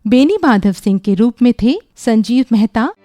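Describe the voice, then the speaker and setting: A woman's voice: a little high, expressive, moderate pace, dark tone, soft background music. A woman radio announcer reading a scripted presentation in formal, literary diction.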